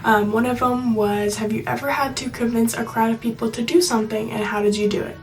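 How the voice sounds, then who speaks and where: A woman's voice: fairly high pitch, level tone, brisk, distant mic, soft background music. A young woman talking in a chatty, reassuring, informal way, giving casual advice to viewers. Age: young adult. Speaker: video blogger.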